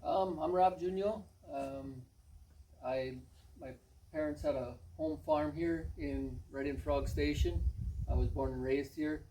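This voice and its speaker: A man in his forties speaks plainly with a lot of "um"s and pauses, his tone mellow and a touch higher than most men's. He sounds somewhat distant from the mic, with faint noise behind him. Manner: plain-spoken, many "um"s. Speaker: parish volunteer cook. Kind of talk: casual chat.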